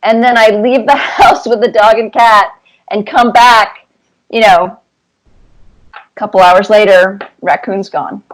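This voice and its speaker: A woman's voice: mid-range voice, steady tone, a little slow, pauses often, low-quality recording. Woman telling anecdotes in a casual interview. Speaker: cartoonist being interviewed.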